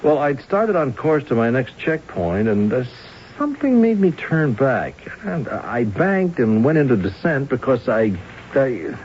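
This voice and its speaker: A man's voice: mid-range voice, big pitch swings, measured pace, a little mellow. An actor playing an affable, hesitant pilot and eyewitness in a 1970s radio drama, stammering and repeating his words. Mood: hesitant, recalling. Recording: dull, hissy vintage radio broadcast.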